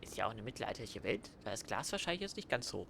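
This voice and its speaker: Fast, animated male let's player. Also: on the low side, creaky, a little hiss or hum. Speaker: let's play commentator. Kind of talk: casual game commentary.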